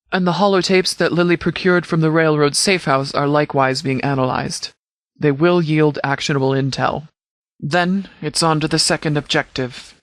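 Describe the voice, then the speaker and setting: Game role: stern male military commander, acted by a man, formal and measured, slightly high voice, dry sound. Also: fairly bright tone, dry recording, natural voice. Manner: formal, measured, authoritative diction. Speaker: video game character voice. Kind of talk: scripted game dialogue, mission briefing.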